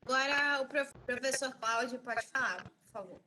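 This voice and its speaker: A woman's voice: high-pitched, normal speed, sharp tone, slight background noise, low-quality recording. A woman from Rio, politely moderating an academic panel and reading out questions as host.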